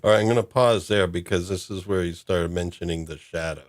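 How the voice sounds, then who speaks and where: Man, low voice, podcast host, casual discussion. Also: brisk.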